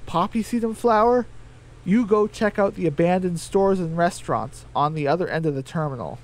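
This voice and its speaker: A man voicing a bossy, take-charge chicken leader in a radio comedy, with a brisk, commanding manner. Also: high voice, voice pushed up.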